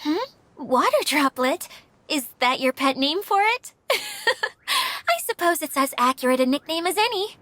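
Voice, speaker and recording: A woman's voice: high voice, very animated, unhurried, sharp tone, no room sound, exaggerated voice. A woman voicing a self-deprecating, easily flustered caretaker of a magical domain in a video game, with a theatrical delivery. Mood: amused. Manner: theatrical, swinging from polite composure to panicked wailing. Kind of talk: video game cutscene voice acting.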